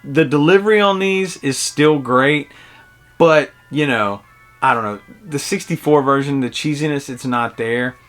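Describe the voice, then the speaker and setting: A man's voice: mid-range voice, unhurried, fairly bright tone. Man reviewing a game over music. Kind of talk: casual review commentary. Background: game music behind the voice.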